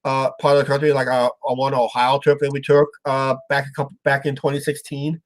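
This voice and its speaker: A man's voice: medium pitch, completely flat delivery, a little fast, slight background noise. A man hosting a casual live-stream chat, rambling with lots of uh's and restarts, his voice sounding stuffed up and nasal. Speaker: show host.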